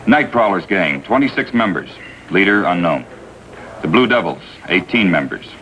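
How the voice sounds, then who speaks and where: A man's voice: low voice, very animated, normal speed, bright, forceful tone, highs cut off, exaggerated voice. A man playing a matter-of-fact police officer in a 1950s TV drama, clipped and factual, with an American accent. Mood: matter-of-fact briefing. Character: brisk, matter-of-fact male juvenile division police officer. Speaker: supporting actor. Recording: faintly noisy vintage TV soundtrack.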